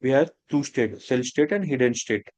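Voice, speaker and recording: A man teaching a technical lesson, talking in a halting way with many restarts and fillers. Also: medium pitch, animated, darkish tone, dry recording. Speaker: instructor. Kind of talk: technical lecture.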